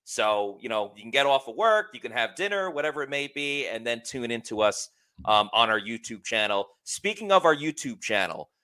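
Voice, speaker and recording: A man hosting a sports podcast in a relaxed, friendly way, with plenty of filler words. His voice is bright and forceful at an ordinary middle pitch. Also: normal speed. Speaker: podcast host. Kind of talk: casual show announcements.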